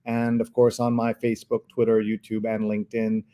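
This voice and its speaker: A man hosting a live talk show in a casual, chatty way, with halting asides. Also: lowish voice, monotone, dark tone.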